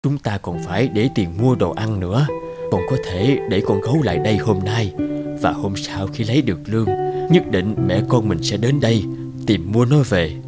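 A man voicing a gentle, hard-up mother in a cartoon, patiently coaxing in a hesitant way. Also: on the low side, moderate pace, over loud music, lightly acted. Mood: gentle pleading. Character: gentle, hard-up mother reasoning with her small son. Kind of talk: cartoon dub acting.

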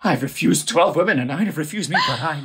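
A man's voice: a little high, lively intonation, fast talker, heavy background noise. A man playing a hot-headed, lovestruck landowner in a comic audio play, bombastic and volatile, shifting between bellows and murmurs. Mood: frantic wooing. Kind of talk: theatrical audio play comedy.

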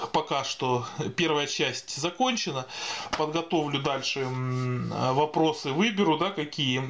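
A man with a somewhat high voice explains things informally as a video host. His delivery is hesitant and halting, and he often breaks off and starts a word again. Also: a bit bright. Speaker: video host answering viewers' questions on CB radio.

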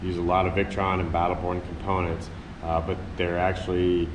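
A man's voice: sits low, monotone, moderate pace. A man who owns a sailboat, methodically walking through a battery install step by step. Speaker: sailboat owner. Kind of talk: explaining a do-it-yourself install. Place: inside a sailboat cabin. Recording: echoey cabin with loud hum.

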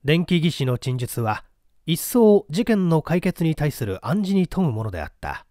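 A man's voice: on the high side, sing-song, moderate pace, mellow, dry recording, clean studio sound. A man reading a mystery story aloud as scripted narration. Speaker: audiobook narrator.

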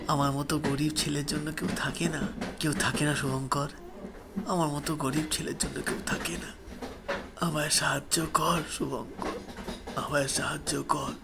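A man voicing the sorrowful ghost of a poor young man, pleading slowly in a hushed, tearful, choked voice. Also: on the high side, level tone, vocal fry, very noisy. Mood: desperate pleading. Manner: slow, hushed, imploring.

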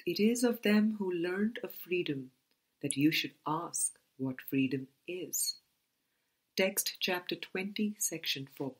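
A woman reading a spiritual book aloud as scripted narration, in a deliberate manner with pauses between phrases. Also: fairly low pitch, lively intonation, husky, low-quality recording. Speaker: audiobook reader.

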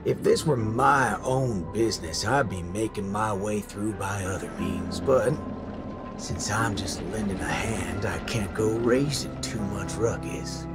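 A man's voice: fairly low pitch, unhurried, over loud music. A man playing a brash, folksy cowboy gunslinger in a video game, with a drawl and minced swear words. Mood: wry, resigned. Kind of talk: video game story acting.

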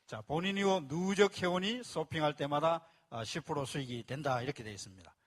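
A man's voice: a little high, fairly bright tone, breathy edge. A man presenting a membership plan to a live audience, explaining step by step and repeating key phrases. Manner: speaks to a live audience and asks for applause.

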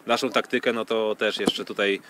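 A man in his thirties giving measured, hesitant answers in a sports interview, speaking into a handheld microphone on a pitch. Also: steady tone, a bit bright. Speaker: football club official being interviewed. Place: outdoors on a football training pitch. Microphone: handheld reporter microphone. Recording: outdoor field noise.

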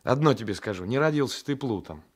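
An actor playing a brooding, passionate gendarme and former lover with a menacing streak, in a radio drama. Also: male voice, mid-range voice, expressive, fast talker, close to an everyday voice. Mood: teasing. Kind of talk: Soviet 1980s radio drama acting.

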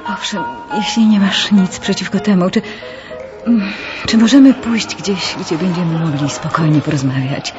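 An actress playing an unhappy, gentle young woman in love, speaking hesitantly in a radio drama. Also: on the low side, natural voice. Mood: hesitant, earnest. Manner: hesitant, halting. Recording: slightly dull audio with music underneath.

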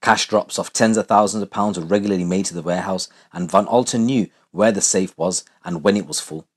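Deep, husky male crime narrator. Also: brisk. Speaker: narrator of a true crime channel. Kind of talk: scripted true crime narration.

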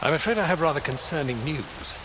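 An actor playing a courteous, concerned older butler in a video game, speaking formally with polite restraint. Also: male voice, a little high, lively intonation, fairly quick, background noise, close to an everyday voice.

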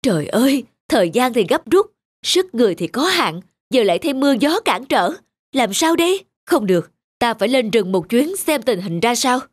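A woman's voice: a little high, very animated, moderate pace, breathy edge. A woman voicing a strict, fretful middle-aged master carpenter in a cartoon folk tale, with a dramatic, exclaiming delivery. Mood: worried. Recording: music behind the voice.

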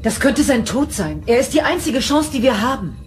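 Serious ship's doctor, faint music. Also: female voice, high voice, big pitch swings, moderate pace. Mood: alarmed. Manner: serious, careful. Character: concerned, cautious female ship's doctor. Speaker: actress in a radio drama.

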